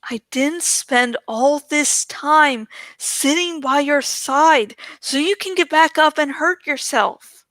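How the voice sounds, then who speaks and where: A woman voicing a brisk, kindly, scolding village healer in a storybook reading, with a firm, motherly manner. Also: high voice, fairly bright tone, no echo, pitched above the performer's own voice. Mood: scolding, reassuring.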